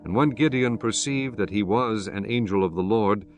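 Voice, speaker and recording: Lowish-voiced male scripture narrator. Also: moderate pace, soft background music. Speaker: audiobook narrator.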